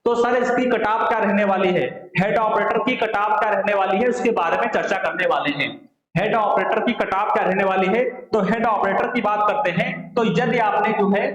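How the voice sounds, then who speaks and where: High-voiced man in echoey room. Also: normal speed, fairly bright tone. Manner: asks questions and answers them himself, repeats phrases. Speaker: exam coaching teacher. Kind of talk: explanatory lecture to online exam aspirants. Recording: very echoey room, slightly muffled.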